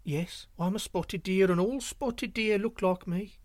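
A man voicing a timid, gentle young male spotted deer in a storybook tale, speaking softly and pleadingly. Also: high voice, unhurried, no room sound, clean studio sound, pitched above the performer's own voice.

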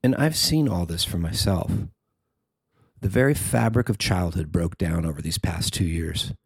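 A man's voice: sits low, moderate pace, breathy edge, no echo. A middle-aged man reading a scripted personal feature as its narrator. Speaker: writer and narrator.

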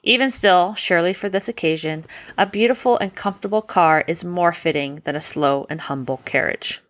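A woman voicing a gentle, patient uncle who explains things in a bedtime story. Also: on the low side, moderate pace, natural voice. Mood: quietly accepting. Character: gentle, patient uncle, a humble carriage driver.

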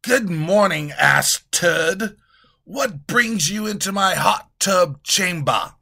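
A man's voice: animated, takes it slowly, gravelly, bright, forceful tone, heavily acted. A man voicing a pompous, lazy, rude king who bellows insults at his servant. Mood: haughty. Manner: bellowing, insulting, shrill when panicked.

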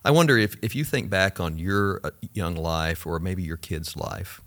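A man's voice: sits low, animated, normal speed, a bit bright. A man hosting a conversational interview, thoughtful and affirming, prompting his guests. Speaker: podcast host.

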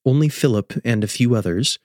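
A man's voice: mid-range voice, lively intonation, brisk, a little mellow, no room sound, studio quality. A man reading scripted story narration as the narrator of an audio drama.

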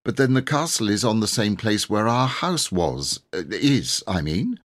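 A man voicing an earnest, excited schoolboy adventurer in an old storybook, speaking eagerly and emphatically in old-fashioned slang. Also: lowish voice, measured pace, full character voice. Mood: eager, explaining.

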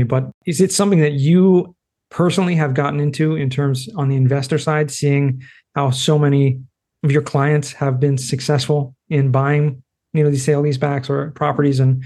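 A male podcast host with a slightly higher than usual voice. He asks friendly, meandering questions, often restarting mid-sentence and laughing easily. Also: a little mellow. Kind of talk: casual interview hosting.

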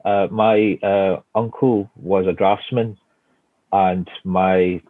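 A man's voice: low voice, fairly even intonation, takes it slowly, dry recording. Hesitant Glaswegian man on a tinny line. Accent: Glaswegian Scottish. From Scotland. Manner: hesitant, many fillers and restarts. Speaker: guest architect. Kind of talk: casual interview answers. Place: home office at home. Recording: tinny phone-like line, dry.

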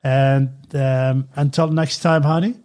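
A middle-aged man with a husky voice hosts a casual chat. He talks in a relaxed, halting way, with plenty of fillers and restarts. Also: medium pitch. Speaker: podcast host, father.

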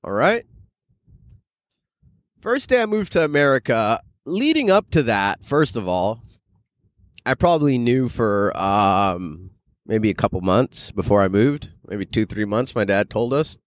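A man's voice: on the low side, very animated, unhurried, no echo, clean studio sound. A man hosting a solo podcast, rambling casually and loosely in informal, sweary language like an amateur comedian.

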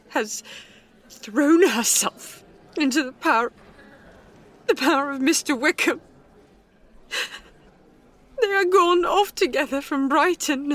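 A woman's voice: high-pitched, pitched above the performer's own voice. A woman reading aloud and voicing an impetuous young woman, urgent and breathless with distress. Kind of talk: audiobook character voice.

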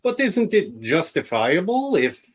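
A man's voice: high-pitched, big pitch swings, a little slow, exaggerated voice. A man playing an earnest, argumentative debater in a radio play. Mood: pleading.

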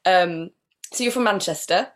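A woman's voice: lowish voice, moderate pace. Effusive woman podcast host. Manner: effusive, gushing. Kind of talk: casual interview chat.

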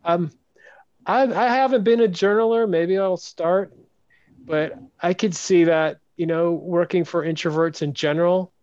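A man hosts a podcast interview in a soft, hesitant manner and often restarts his sentences. His voice sits a bit high. The audio is dry and dull, as if muffled. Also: measured pace, clear, resonant tone, no room sound. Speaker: podcast host. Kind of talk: casual interview chat.

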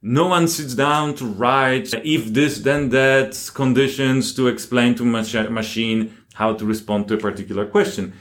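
A man's voice: mid-range voice, steady tone, unhurried, hardly any pauses. Man explaining ideas as an interview guest. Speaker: interview guest, academic researcher.

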